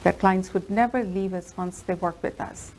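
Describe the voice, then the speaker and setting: Female lawyer guest, Telugu native, low voice, steady earnest telling. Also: normal speed, a little hiss or hum. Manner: earnest, steady storytelling with fillers. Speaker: immigration lawyer. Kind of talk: interview answers.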